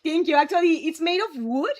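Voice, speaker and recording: A woman's voice: high-pitched, animated, brisk. A young woman from Mexico with a Mexican Spanish accent, chatting casually on a live stream and answering viewers' comments. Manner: friendly, answers viewers' questions aloud. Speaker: livestreamer.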